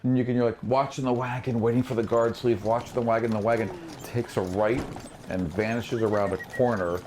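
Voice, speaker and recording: A man's voice: mid-range voice, steady tone, brisk, noisy background. A man acting as game master, improvising scene narration in a conversational way with vivid asides.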